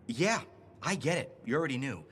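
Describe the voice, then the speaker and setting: An actor voicing an eager, chummy, awkwardly pushy informant in a video game. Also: male voice, mid-range voice, lively intonation, a little fast, a bit bright, slightly rough. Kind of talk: video game dialogue acting.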